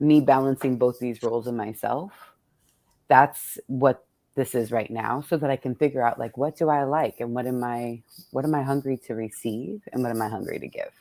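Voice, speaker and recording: A woman with a strikingly low voice chats informally as an interviewer. She feels her way through thoughts, breaking off and rewording them, and the sound is dry and close. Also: moderate pace, darkish tone, no echo. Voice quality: low-pitched. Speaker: poet hosting a conversation. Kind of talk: casual reflective interview chat.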